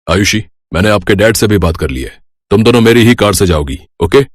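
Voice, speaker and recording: A man's voice: lowish voice, animated, brisk, dry recording, studio quality. A man playing a rich, protective middle-aged father in a moral story, firm, caring and authoritative. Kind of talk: moral story character acting.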